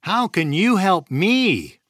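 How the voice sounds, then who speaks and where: A man voicing a tiny, boastful gingerbread man who taunts in a shrill singsong. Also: sing-song, slow pace, fairly bright tone, no echo, studio quality, full character voice. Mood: wary. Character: tiny boastful gingerbread boy, cocky and fast.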